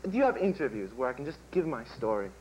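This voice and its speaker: A man's voice: mid-range voice, sing-song, quick pace, a little mellow, slight background noise, low-quality recording. Rambling teenage boy interviewer. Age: teens. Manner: rambling, full of "like" and "um". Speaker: student interviewer. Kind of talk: improvised school TV sketch.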